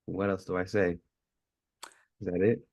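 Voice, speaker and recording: A man's voice: sits low, flat delivery, measured pace, darkish tone, no room sound. A man from New Jersey, a panel guest introducing himself hesitantly and unsure what to say.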